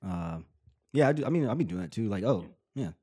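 A man in casual conversation, laid-back, swearing freely and pausing on "uh". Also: lowish voice, expressive, dark tone.

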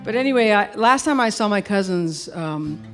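A woman's voice: mid-range voice, expressive, moderate pace, fairly bright tone, soft background music. A middle-aged woman singer-songwriter introducing a song in casual, rambling, reflective stage talk.

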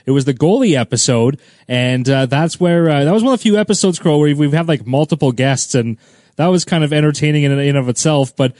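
A man's voice: on the high side, a little fast, darkish tone, no room sound, studio quality. A man hosting a podcast, talking in a rambling, friendly way and often repeating words as he asks questions. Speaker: podcast host. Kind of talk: casual podcast chat.

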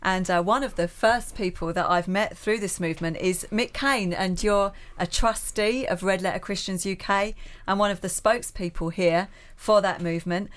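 A woman with a British regional accent at a mid pitch asks a guest questions in a radio studio. She is encouraging and attentive and backs him up with short murmurs of agreement. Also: normal speed, few pauses. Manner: encouraging, prompting with short affirmations. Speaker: co-interviewer. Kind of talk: warm interview questioning.

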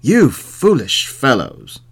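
A stern sheriff acted out by a male storyteller speaks in drawn-out, emphatic phrases. He sounds incredulous and scolding, with very lively swings of pitch. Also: exaggerated voice. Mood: exasperated. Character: stern, incredulous sheriff questioning foolish townsmen.

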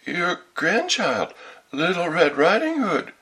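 A man acts out a sly, hungry wolf from a storybook. The voice is pushed high in false sweetness, coaxing and drawn out, with a rough husky edge and big swoops of pitch. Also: wildly swooping pitch, full character voice. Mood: falsely sweet. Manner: coaxing, drawn-out, put-on softened voice.

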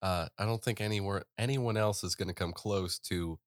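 Low voiced guy cohosting, easygoing, moves the chat along, dry sound. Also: moderate pace, no room sound. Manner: agreeable, leads the topics, shares facts. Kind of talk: casual chat.

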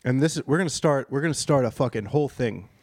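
A man co-hosting a podcast, casually plugging the show with loud, profane energy. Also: medium pitch, animated, fast, dry recording. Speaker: podcast co-host. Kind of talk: crude casual podcast banter.

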